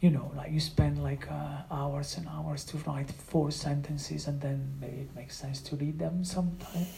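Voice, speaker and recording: Brief: non-native English speaker, sound artist, halting and full of restarts, dark mellow tone. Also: mid-range voice, level tone, vocal fry, slight background noise. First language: not English. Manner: hesitant, many restarts and fillers. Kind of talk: explaining own work in a conversation.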